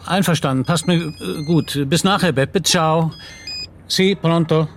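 An actor playing a courteous, inquisitive police commissario in a naturalistic radio drama. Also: male voice, on the high side, unhurried, a little hiss or hum. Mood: distracted, busy.

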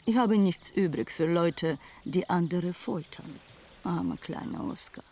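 An actress in a dubbed film thriller playing a proud, world-weary former madam turned respectable wife, disdainful and wistful in manner. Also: female voice, fairly low pitch, measured pace, creaky, darkish tone, slightly rough, heavily acted. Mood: disdainful, defensive.

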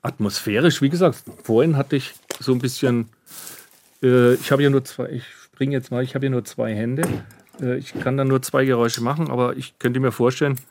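A man's voice: mid-range voice, fairly even intonation, a little fast, darkish tone, a little hiss or hum. A man working as a sound effects artist explains his craft in conversation, searching for his words in a studio. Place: radio drama studio.

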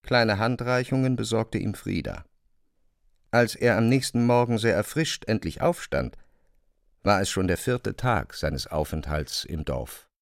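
A man giving a dramatic literary narration for an audio drama, expressive and precise in his diction. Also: on the low side, measured pace, vocal fry, dry recording.

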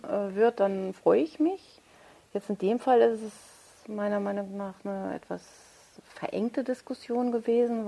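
A woman's voice: medium pitch, mellow. A woman speaking as an interviewed author in a thoughtful conversation, searching for her phrasing and correcting herself.

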